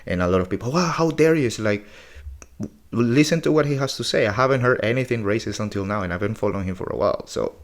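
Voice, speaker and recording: A man's voice: fairly low pitch, lively intonation, fast talker. A man in his forties recapping a podcast episode in a casual, blunt, opinionated way, swearing often. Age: 40s.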